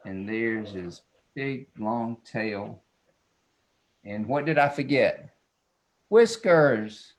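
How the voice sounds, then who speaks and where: A man's voice: mid-range voice, expressive, extremely slow, long pauses. A man, an illustrator talking casually and playfully as he demonstrates drawing. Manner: folksy, playful, self-described silly. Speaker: children's book illustrator.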